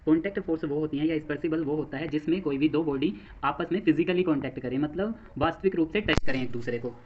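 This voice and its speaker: A man's voice: on the high side, fast talker, highs cut off, a little hiss or hum. A man with an Indian accent teaching like a coaching instructor, explaining step by step, repeating phrases and posing questions to himself. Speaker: physics teacher for exam coaching. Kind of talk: classroom lecture mixing Hindi and English terms.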